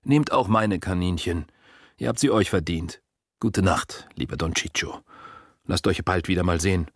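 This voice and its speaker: A man's voice: low voice, normal speed, no echo, clean studio sound. A man voicing a courteous, condescending aristocratic prince in a literary story. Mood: gracious. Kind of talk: audiobook character reading.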